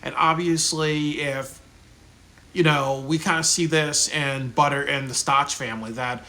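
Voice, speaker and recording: Bright-voiced man rambling through a review. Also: on the high side, fairly even intonation, unhurried. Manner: rambling, many fillers and restarts. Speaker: video reviewer. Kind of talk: casual spoken commentary.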